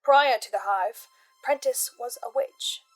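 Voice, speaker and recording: A woman hosting a scripted horror analysis, speaking as an investigator in a measured, deliberate way with dramatic pauses. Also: a little high, rough recording. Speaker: host of a fan theory channel. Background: music.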